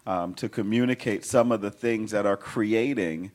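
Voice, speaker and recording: A pastor preaching a sermon in a hoarse, raspy voice, building energy and talking directly to his congregation. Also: lowish voice, normal speed, a little mellow. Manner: preaching with rising call-and-response energy. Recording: sermon with organ music behind. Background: organ and instrumental music.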